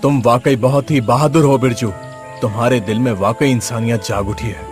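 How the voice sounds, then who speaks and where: A man voicing a wise, mysterious holy sage who instructs solemnly in an animated story. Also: mid-range voice, normal speed, music in the background, lightly acted. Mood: calm, knowing. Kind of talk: animated story character acting.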